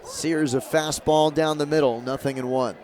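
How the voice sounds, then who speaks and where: A man doing live radio play-by-play of a baseball game as the announcer, in measured calls with emphasis on key moments. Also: a little high, steady tone, darkish tone. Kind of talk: live radio sports commentary. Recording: crowd and ambient stadium noise behind. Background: ballpark crowd murmur.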